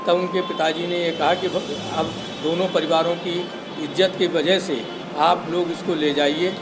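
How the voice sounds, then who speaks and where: An older man giving a statement in an interview, speaking in deliberate, halting phrases. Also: a little high, fairly even intonation, background noise. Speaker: interviewee, father-in-law giving his side. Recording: loud music over the speech. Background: loud music.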